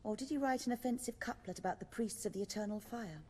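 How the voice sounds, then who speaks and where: An actress playing a poised, shrewd theatre troupe leader in a video game, speaking in a measured, formal way. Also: female voice, mid-range voice, darkish tone, slightly rough, in a large hall, lightly acted. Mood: courteous, curious. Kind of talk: video game dialogue acting.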